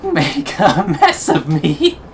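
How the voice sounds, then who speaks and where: A man acting an obsessive, sadistically tender lover in a scripted ASMR roleplay, taunting with breathy chuckles. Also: sits high, wildly swooping pitch, slow, slight background noise, exaggerated voice. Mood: defiant, obsessive.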